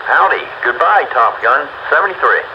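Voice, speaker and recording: A man's voice: mid-range voice, animated, normal speed. A man chatting casually over two-way radio, folksy and exclamatory. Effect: two-way radio transmission. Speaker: amateur radio net participant. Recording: muffled, noisy ham radio transmission.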